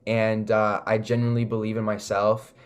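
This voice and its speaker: Low-voiced young Canadian man, earnest and casual. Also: completely flat delivery, moderate pace. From Toronto, Canada. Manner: earnest, filler-heavy with frequent "like" and "you know". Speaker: recording artist, interview guest. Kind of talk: casual interview chat.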